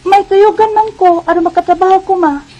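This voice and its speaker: A woman's voice: very high voice, fairly even intonation, brisk, warm tone, muffled recording. A woman playing a naive, plaintive and stubborn teenage daughter in a Bisaya radio drama, with a Cebuano Filipino accent. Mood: pleading.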